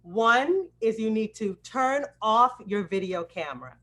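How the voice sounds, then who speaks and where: Slow-spoken woman hosting a video call. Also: on the high side, expressive, a bit bright. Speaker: acting coach hosting a student presentation. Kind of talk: welcome address on a live video call.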